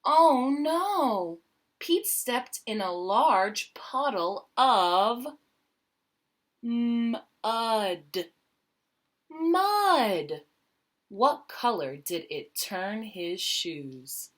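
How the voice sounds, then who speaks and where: A woman reading a children's picture book aloud expressively, stretching key words and dropping into quieter asides with questions for young listeners. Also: medium pitch, lively intonation, drawn-out words.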